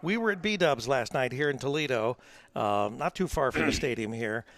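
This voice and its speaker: A man's voice: medium pitch, expressive, normal speed, fairly bright tone. A man from the American Midwest with a Midwestern accent, doing amateur color commentary at a college football stadium, teasing his partner and hesitating with fillers. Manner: teasing, banter-heavy, lots of uh fillers.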